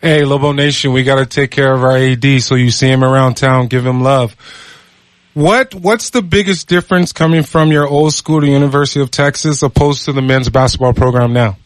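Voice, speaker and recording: A man's voice: medium pitch, fairly quick, no room sound. Man, radio co-host, friendly, enthusiastic, dry studio sound. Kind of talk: sports radio interview.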